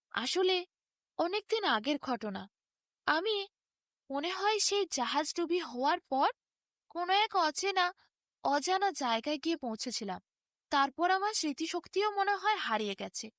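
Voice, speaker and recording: A woman's voice: extremely high pitch, big pitch swings, measured pace, pauses often, fairly bright tone, no echo, studio quality, heavily acted. A woman voicing a mild, lonely male merchant who has lost his memory, hesitant and bewildered, in a fairy tale. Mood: hesitant, wistful.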